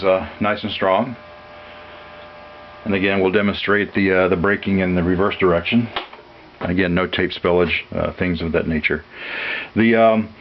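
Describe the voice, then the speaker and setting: A man giving an unscripted technical demonstration as a seller, matter-of-fact and halting, with frequent uhs. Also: deep voice, a little hiss or hum. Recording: dull lo-fi audio, music behind. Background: music played from demonstration equipment.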